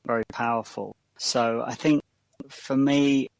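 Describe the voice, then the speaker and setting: A man's voice: medium pitch, steady tone, unhurried, vocal fry, no echo, rough recording. A man co-hosting a podcast, reflecting earnestly and unhurriedly and then reading the show's outro. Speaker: podcast co-host. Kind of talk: casual reflection and outro announcement.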